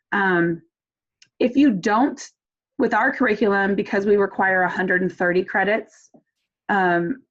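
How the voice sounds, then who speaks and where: A woman academic advisor answering questions in a casual chatty way on a video call. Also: medium pitch. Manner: chatty, many fillers. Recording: dull lo-fi video call audio.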